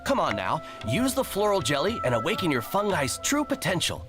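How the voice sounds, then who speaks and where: A woman voicing a polite tournament staffer in a video game, measured and welcoming. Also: on the low side, very animated, loud music behind the voice. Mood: gracious. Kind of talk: game dialogue.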